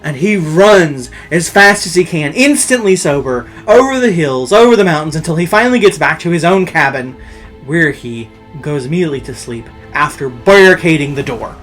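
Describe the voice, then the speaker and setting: A campy storyteller hosts a horror reading with showy, pompous flair. The delivery swings widely in pitch, with drawn-out words and dramatic pauses, over faint music. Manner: pompous, campy, self-flattering showmanship. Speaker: storyteller host.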